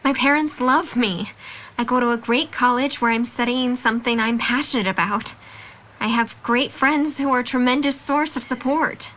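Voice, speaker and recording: Woman acting a high-voiced, candid young student. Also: moderate pace, fairly bright tone, natural voice, rough recording. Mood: earnest, candid. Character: candid, supportive young college woman, forgiving friend. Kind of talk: audio drama dialogue.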